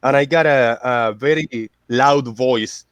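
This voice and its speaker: A man's voice: mid-range voice, slow, clean tone, no echo. A man in a casual online chat who talks hesitantly and stammers.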